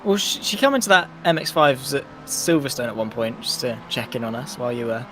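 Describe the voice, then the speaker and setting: A man's voice: mid-range voice, a little fast, fairly bright tone, soft background music. A British man co-commentating on motor racing, rambling and self-deprecating, often laughing at himself. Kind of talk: live sim racing commentary and banter.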